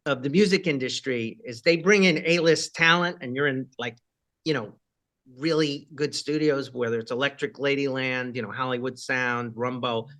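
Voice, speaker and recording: A rock singer with a high voice, chatting casually in an interview and rambling with many fillers and asides. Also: male voice, moderate pace, pushed and bright.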